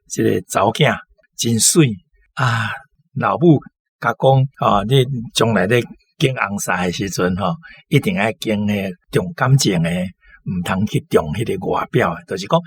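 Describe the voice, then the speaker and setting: A man with a Taiwanese accent talking off the cuff about a story in a halting, rambling way. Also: fairly low pitch, slightly rough, no echo. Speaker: literary discussion speaker. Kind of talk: unscripted talk about a story.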